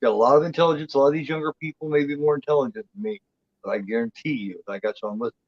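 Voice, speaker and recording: A man's voice: mid-range voice, rapid-fire, no echo, muffled recording. A man in his forties chatting as co-host, blunt and profane, rambling with lots of restarts. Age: 40s. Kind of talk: casual chat.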